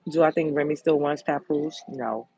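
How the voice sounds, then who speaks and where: A woman hosting a casual livestream chat, reading out comments in a candid, blunt way, with a deep, sultry voice. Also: normal speed, dark tone. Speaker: host.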